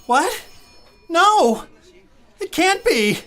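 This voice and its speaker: A frantic salesman played by a male actor in an audio drama, high-voiced and swinging wildly in pitch. He is jittery, muttering and exclaiming as his nerves give way over loud music. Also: big pitch swings, extremely slow, heavily acted. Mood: shocked denial. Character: stressed married insurance salesman, cracking up in terror.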